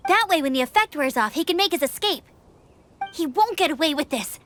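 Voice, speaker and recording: A woman's voice: high-pitched, animated, a little fast, fairly bright tone, a little hiss or hum. A woman voicing a spirited young female scout in a video game, confident and eager, rallying her companion on. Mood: determined. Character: spirited young female outrider, bold and cheerful. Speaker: scout and gliding instructor. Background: game soundtrack music.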